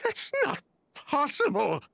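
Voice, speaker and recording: An actor playing a distraught male merchant in a video game, tearful and breathless, with a strained, husky voice. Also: very high voice, wildly swooping pitch, slow pace, a bit bright, no echo, exaggerated voice. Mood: grief-stricken. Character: distraught merchant man calling to his unresponsive brother.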